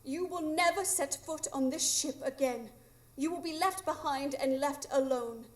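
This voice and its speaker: Actress as young female captain, radio play: high voice, solemn, resolute, commanding. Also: normal speed, close to an everyday voice. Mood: stern command. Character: stern, resolute young woman ship's captain. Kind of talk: live radio drama acting.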